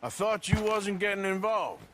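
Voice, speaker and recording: A man voicing a gruff, dry-witted middle-aged outlaw gunman with a laconic drawl. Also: high-pitched, expressive, normal speed, very noisy. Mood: threatening.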